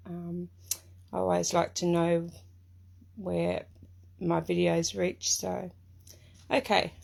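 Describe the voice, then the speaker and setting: A middle-aged woman from Tasmania with an Australian accent, hosting a casual live craft stream from her home craft room, chatty and greeting viewers by name, her voice hoarse and croaky from a cough. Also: mid-range voice, darkish tone.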